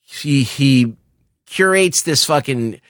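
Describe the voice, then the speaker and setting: A man's voice: medium pitch, lively intonation, slow, a bit bright, no echo. A man co-hosting a casual film discussion, speaking emphatically with lots of swearing into a close microphone. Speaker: podcast co-host reviewing films. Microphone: close podcast microphone.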